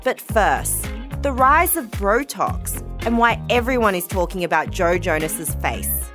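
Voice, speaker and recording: A woman's voice: mid-range voice, expressive, a little slow. A woman giving a scripted podcast introduction as host. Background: music.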